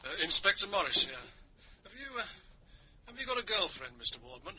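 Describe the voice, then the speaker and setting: An actor playing a carefully probing police inspector over a telephone in a radio drama. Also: male voice, high voice, sing-song, brisk. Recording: slightly noisy telephone line.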